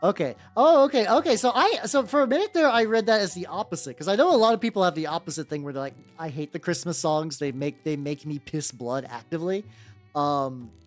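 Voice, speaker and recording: A young man with a relatively high voice chatting casually on a livestream while painting miniatures, rambling and often repeating himself. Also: lively intonation, fairly quick. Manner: rambling, repeats words, laughs often, squeals in playful high bits. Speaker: VTuber streamer. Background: soft background music.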